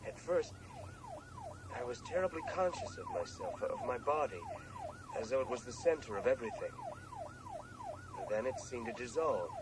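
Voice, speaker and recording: Reflective runaway man, noisy recording. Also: mid-range voice. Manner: reflective, halting, earnest. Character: soul-searching runaway brother confiding on a taped farewell. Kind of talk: radio drama monologue. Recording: noisy 1980s radio drama tape.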